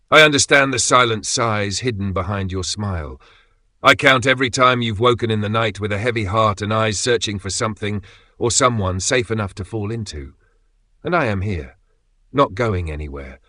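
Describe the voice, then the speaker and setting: A man reading a scripted devotional message in the voice of God, gentle and tender, often sinking to a near whisper. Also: on the low side, no room sound.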